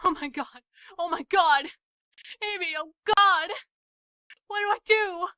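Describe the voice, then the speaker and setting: A woman's voice: very high voice, unhurried, bright, forceful tone, no room sound, clean studio sound, full character voice. A woman playing a distraught, panicking young woman in a horror comic dub, anguished and tearful. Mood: horrified, anguished.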